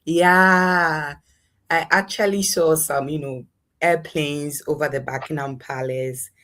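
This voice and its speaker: A woman's voice: low voice, fairly even intonation, slow pace, a bit bright. A young adult woman with a Ghanaian accent, giving conversational answers as an interview guest. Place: in a room at home, online interview.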